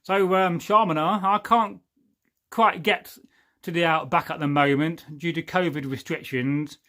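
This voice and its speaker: Rambling Englishman, slightly high voice. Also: steady tone, unhurried, bright, forceful tone. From England. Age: 40s. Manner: rambling and jokey, often losing his thread. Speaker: livestream host. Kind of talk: casual livestream chat reading viewer comments.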